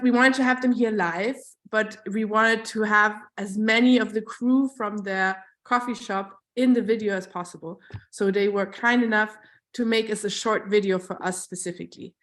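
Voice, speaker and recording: A woman hosting an online panel, her mid-range voice carrying a chatty, upbeat manner. She keeps things moving with plenty of fillers and quick asides, speaking into a computer microphone. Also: mid-range voice, a bit bright. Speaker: event moderator. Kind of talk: live online panel moderation.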